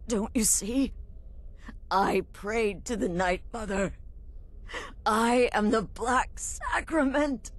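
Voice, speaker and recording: An actress playing a weak, repentant woman who leads assassins in a video game, speaking haltingly with a husky, pained voice. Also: a little high. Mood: pleading, remorseful. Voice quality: husky, breathy, broken. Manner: halting, strained, confessional. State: weak, gasping, in pain. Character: dying repentant female leader of an assassin family. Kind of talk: dramatic video game acting.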